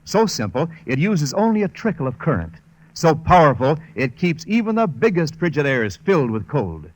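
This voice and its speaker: A man's voice: a little high, sing-song, moderate pace, poor audio. A man announcing for a vintage radio show and reading sponsor copy in a polished, emphatic sales style.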